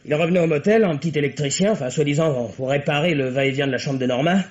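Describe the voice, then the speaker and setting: An actor playing an eager, self-satisfied police subordinate in a 1950s radio mystery, reporting in a brisk, colloquial way. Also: male voice, a little high, lightly acted. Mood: eager, pleased. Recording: dull vintage radio drama recording.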